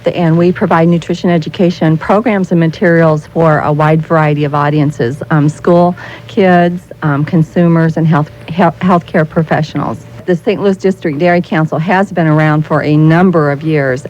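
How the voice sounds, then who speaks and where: An American woman speaking as a guest spokesperson in a radio interview, explaining things in a halting way, often repeating and rephrasing her phrases. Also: sits low, darkish tone. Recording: muffled 1990s radio recording with noise.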